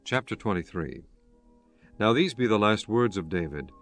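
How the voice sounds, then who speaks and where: A man narrating a dramatized audio Bible from a script, in a formal, solemn and measured storytelling manner. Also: fairly low pitch, expressive. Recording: music under the voice. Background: music.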